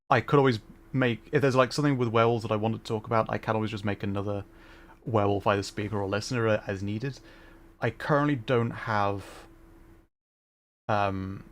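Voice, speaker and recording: A man chatting casually as he streams a game, musing in a halting way and often trailing off. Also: lowish voice. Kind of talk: casual livestream chat while gaming. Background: game music.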